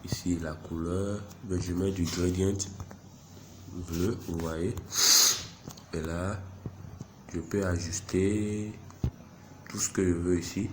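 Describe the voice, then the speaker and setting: Man with a head cold, low and monotone, guiding viewers step by step; faint noise floor. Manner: unhurried, self-deprecating, narrates each click. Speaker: software tutorial presenter. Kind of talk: screen-recorded app tutorial.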